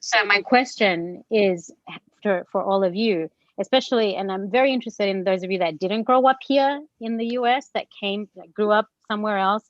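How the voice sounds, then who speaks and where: A woman's voice: medium pitch, no room sound. Earnest woman on a dull, dry call. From Chile, raised in Australia. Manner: earnest, emotional, halting. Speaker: moderator. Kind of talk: video call panel discussion. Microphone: video call.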